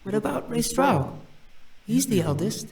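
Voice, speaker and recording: An actor playing a quiet, curious young demon prince in a visual novel, thoughtful and hopeful. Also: male voice, extremely high pitch, sing-song, a little slow, gravelly, distant mic, a crowd in the background, heavily acted. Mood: secretive. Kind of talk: visual novel character acting.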